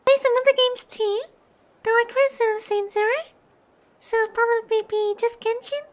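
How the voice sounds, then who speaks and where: A woman's voice: very high-pitched, steady tone, moderate pace. A woman game streamer chatting casually with her viewers as a VTuber. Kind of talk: casual livestream chat.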